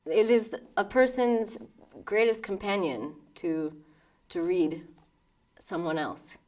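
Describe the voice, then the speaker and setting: A woman's voice: medium pitch, takes it slowly, distant mic. A woman in her thirties from New York with an American accent, talking thoughtfully about her life as a pianist in a documentary interview. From New York, United States. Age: 30s. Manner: thoughtful, with soft laughs and restarts.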